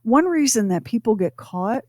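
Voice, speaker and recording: A woman's voice: on the high side, expressive, normal speed, warm tone, no echo. Dry studio sound, conversational woman host. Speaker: radio show co-host. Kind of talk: casual conversational podcast talk.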